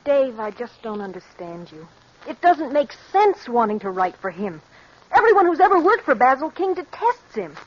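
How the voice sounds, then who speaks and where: A muffled old radio recording carries an insistent wife. Her voice is high and very lively, worried and emphatic, in the manner of 1940s radio drama. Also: moderate pace. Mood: exasperated, pleading. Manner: pleading, emphatic.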